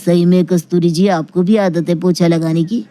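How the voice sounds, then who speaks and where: Casting: performer as fussy male doctor in a comic story, mellow dark tone, plaintive and confiding. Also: medium pitch, fairly even intonation, normal speed, natural voice. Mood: exasperated, confiding. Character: fussy male doctor obsessed with mopping floors, exasperated.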